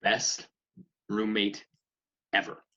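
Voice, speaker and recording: A man's voice: lowish voice, monotone, extremely slow, sharp tone, full character voice. A man playing a laid-back, peacekeeping young roommate in a comedy table read, on dull, lo-fi audio. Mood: relaxed. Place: at home, remote table read.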